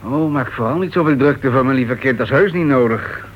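A man's voice: medium pitch, fairly quick, close to an everyday voice. Radio play, muffled and slightly noisy: male actor as irritable, self-important author, impatient and sarcastic. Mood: grumbling, sarcastic. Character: irritable, self-important male crime writer, husband at home. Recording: dull, noisy old radio play recording.

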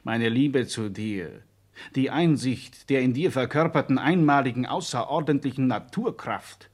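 A man's voice: mid-range voice, a little slow, natural voice. A man acting an intense, possessive idealist in a 1960s German radio play, speaking in a solemn, lofty and insistent manner. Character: intense, possessive, fastidious male idealist urging departure.

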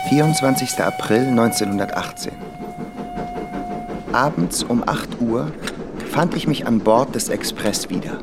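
An actor playing an observant composer reading out his travel diary in a radio play, reflective and precise, with vehicle noise behind him. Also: medium pitch.

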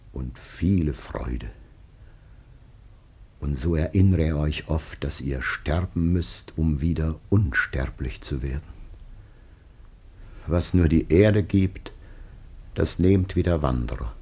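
An actor playing a dying aged patriarch in a classical radio play, solemn and tender, declaiming slowly with breathy, whispered passages. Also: male voice, rumbling low, darkish tone, heavily acted. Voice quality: gravelly, husky, breathy.

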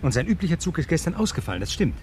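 A man's voice: fairly high pitch, big pitch swings, racing, darkish tone. A deferential police subordinate in a 1970s radio crime drama. He reports and narrates in an orderly, courteous way. Slight noise sits on the recording. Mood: dutiful, deferential. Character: dutiful, polite police subordinate who also narrates.

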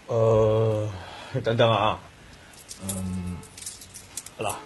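An actor playing a laid-back plainclothes detective in a radio drama, speaking casual, laconic Hong Kong Cantonese with a husky voice. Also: male voice, on the low side, steady tone, drawn-out words, exaggerated voice. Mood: casual, hesitant.

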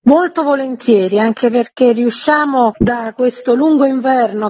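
A woman's voice: fairly high pitch, mellow, husky, no echo. A woman, speaking conversationally as a theatre guest presenting a programme in a radio interview. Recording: dull, lo-fi call audio.

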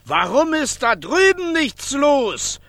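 An actor playing a small, eager, earnest festival director in a radio play for children, with a husky voice and a commanding manner. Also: high-pitched, sing-song, slow, fairly bright tone, dry recording, studio quality, full character voice. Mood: urgent, rousing.

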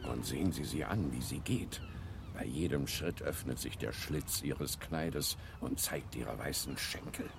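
An actor in a radio play voicing a crude, lecherous man, gravelly, blunt and leering. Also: rumbling low, unhurried, over music, full character voice. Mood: lustful, admiring. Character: crude, lecherous, growling male voice of desire.

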